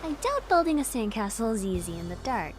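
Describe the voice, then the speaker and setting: A woman's voice: fairly high pitch, very animated, a little fast, noisy background. A woman playing a playful, teasing young woman in a visual novel game, with music behind her. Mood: casual, wistful.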